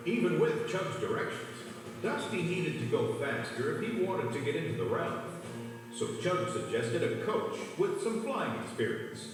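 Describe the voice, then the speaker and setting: A man narrates a children's storybook with lively intonation and a slightly high voice. The recording is heavily echoed and distant, with music playing behind him. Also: normal speed. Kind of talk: scripted children's read-along narration.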